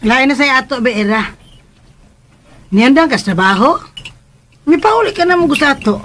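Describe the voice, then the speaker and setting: High-voiced nagging provincial mother. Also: lively intonation, normal speed, a bit bright, a little hiss or hum. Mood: grumbling, prodding. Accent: Filipino, Cebuano. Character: older provincial mother, nagging and plain-spoken. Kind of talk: Philippine radio drama acting.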